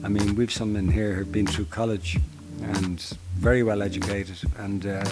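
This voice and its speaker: A middle-aged Dublin man who manages a homeless service, answering interview questions earnestly with many halting restarts. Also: fairly low pitch, little pitch movement. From Ireland.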